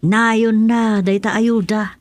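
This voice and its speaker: A woman's voice: fairly high pitch, level tone, takes it slowly. A woman playing a gossiping village woman in a radio drama comedy. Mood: gossipy.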